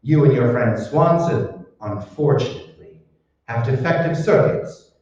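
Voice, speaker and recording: A man playing a cold, calculating scientist in an old-time radio drama, deliberate, matter-of-fact and gloating. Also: medium pitch, darkish tone, natural voice. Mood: cold, explanatory. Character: cold, calculating male mad scientist, smugly explaining. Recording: echoey hall, distant mic, muffled.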